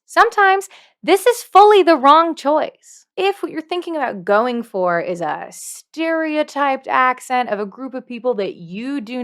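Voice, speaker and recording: A woman's voice: a little high, fairly bright tone. A woman presenting a scripted how-to video in a playful, emphatic way, repeating points for effect. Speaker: dungeon master giving tips as video host. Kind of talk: scripted instructional video talk to camera.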